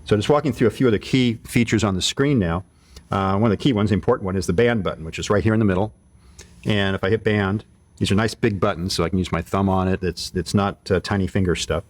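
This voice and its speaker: A man's voice: sits low, quick pace, no room sound. A man giving an informal hands-on product demonstration, explaining things step by step and thinking aloud as he goes. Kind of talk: casual hands-on product demo.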